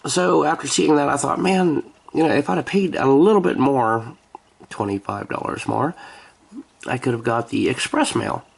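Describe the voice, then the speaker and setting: A man's voice: medium pitch, moderate pace, gravelly, breathy edge. An American man vlogging in a rambling, casual chat, with a wry, joking, sarcastic manner. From United States.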